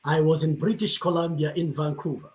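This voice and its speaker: Pastor from Zambia, man, panel guest; slightly high pitch, measured and thankful delivery over a video call. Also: steady tone, darkish tone. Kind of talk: panel conversation answer.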